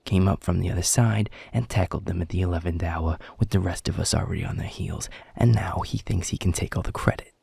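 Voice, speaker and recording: Audio roleplay werewolf enforcer, played by a man: very husky, aggressive, sarcastic, dry close sound. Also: low-pitched, steady tone, a little fast, hardly any pauses, mellow, no room sound, full character voice. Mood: indignant. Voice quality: gravelly, husky. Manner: taunting, sarcastic, swearing. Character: aggressive, foul-mouthed male werewolf pack beta.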